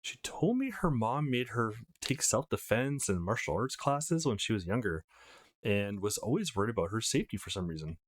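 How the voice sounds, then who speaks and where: Man reading aloud with highly animated pitch. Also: normal speed. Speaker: let's play host. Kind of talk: casual let's play, reading visual novel text aloud.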